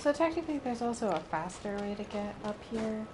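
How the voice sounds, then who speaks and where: A woman streaming a game live, giving casual, halting commentary as she thinks aloud. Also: on the high side, expressive. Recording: loud background noise on livestream.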